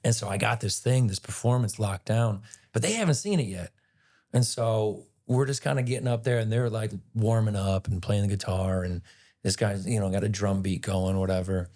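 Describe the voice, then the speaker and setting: Raspy-voiced male actor, chatty guest spinning a story, loose and animated. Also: fairly low pitch, moderate pace. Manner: animated, rambling retelling with many "like"s. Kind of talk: casual storytelling interview.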